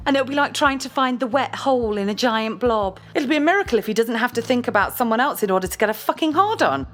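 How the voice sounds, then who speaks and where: A woman's voice: on the high side, animated, fast talker, fairly bright tone. A woman with a British accent playing a blunt, scornful, image-obsessed girlfriend in an audio drama, with a cutting, emphatic delivery.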